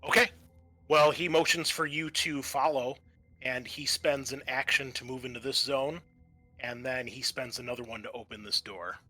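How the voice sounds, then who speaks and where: A man's voice: mid-range voice, fairly even intonation, normal speed, sharp tone, soft background music. A man acting as game master for a tabletop roleplaying game, explaining turns and narrating in a conversational, improvised way.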